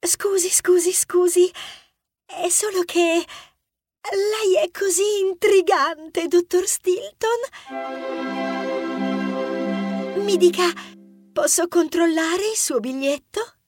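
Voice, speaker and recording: Lovestruck young mouse conductor, children's audiobook. Very high, slow, swooning delivery. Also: female voice, darkish tone, faint music behind. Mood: swooning, adoring. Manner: effusive, flustered, swooning, repetitive apologies. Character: gushing, lovestruck young female rodent train conductor. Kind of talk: children's audiobook character acting.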